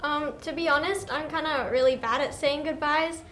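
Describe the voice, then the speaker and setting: A woman's voice: sits high, steady tone, moderate pace, a bit bright. A teenage girl from Australia, a student guest, talking hesitantly with many fillers.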